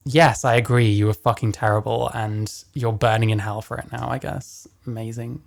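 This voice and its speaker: A man with a deeper voice giving casual reaction commentary, blunt and profane as he rants. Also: fairly even intonation, normal speed, gravelly, over loud music. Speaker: commentary host.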